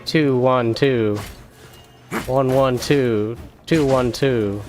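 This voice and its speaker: A man's voice: medium pitch, drawn-out words, a little mellow. A man giving casual, rambling video game commentary, often repeating words to himself, with game music behind him.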